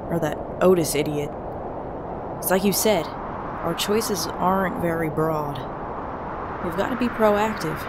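Woman playing a blunt, lower-voiced young woman. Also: very noisy, close to an everyday voice. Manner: direct, matter-of-fact. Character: blunt, forward, matter-of-fact young woman. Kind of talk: amateur comic dub acting.

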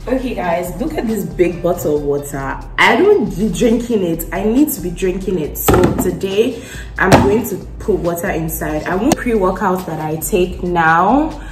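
A woman's voice: lowish voice, lively intonation, moderate pace, loud music behind the voice. A young woman in her twenties chatting casually to her phone camera in a home kitchen, rambling with lots of fillers. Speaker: vlogger. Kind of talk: casual vlog chat. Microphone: phone camera at arm's length.

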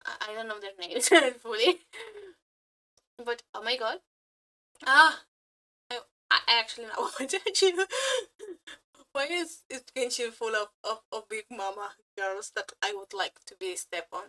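A woman reacting casually and excitedly as a streamer, gushing, exclaiming and swearing freely. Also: a little high, a little slow. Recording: music playing behind. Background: game trailer music.

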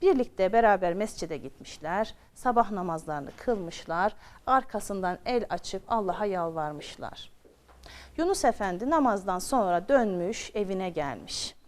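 A woman's voice: medium pitch, expressive, a little slow. A woman telling a children's story expressively, repeating phrases for drama and addressing her young listeners directly.

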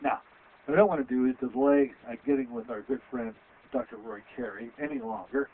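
A man in his sixties with a Western Pennsylvania accent, a physician hosting a radio health show in a loud, rambling, chatty way from a small office on a headset mic. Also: mid-range voice, brisk, slight background noise. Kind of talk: casual radio talk. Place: small office with framed certificates. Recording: tinny phone-like audio.

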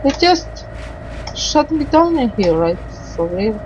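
A woman doing let's play commentary, reading game dialogue aloud haltingly and adding muttered asides. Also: on the high side, big pitch swings, darkish tone, dull audio, slight background noise.

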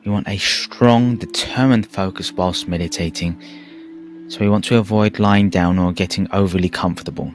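A man narrating a guided meditation in a calm, measured, soothing way with pauses. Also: sits low. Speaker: meditation teacher. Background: background music.

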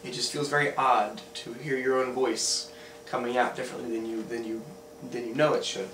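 A man's voice: mid-range voice, little pitch movement, moderate pace, fairly bright tone. A young man hosting a home science demonstration, earnest and explaining things step by step, often restarting his sentences. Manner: earnest, with frequent restarts and throat clearing. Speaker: video host. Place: small room at home. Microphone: camera mic from a few feet away. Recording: roomy echo from a far camera mic, hiss.

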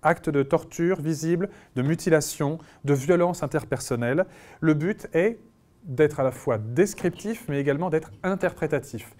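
A man, a physician, giving a conference lecture over slides, speaking in a measured way with frequent pauses and restarts. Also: fairly high pitch, a little mellow. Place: conference room.

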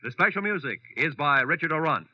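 A man's voice: fairly high pitch, lively intonation, brisk, heavy background noise. An American man announcing in a formal, scripted 1940s radio style. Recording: dull, dry old radio recording, faint music.

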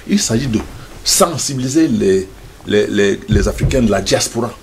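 A man's voice: fairly low pitch, lively intonation, normal speed, creaky, a little mellow, a little hiss or hum. An older man speaking as a studio guest in a passionate debate, emphatic and stammering on repeated words.

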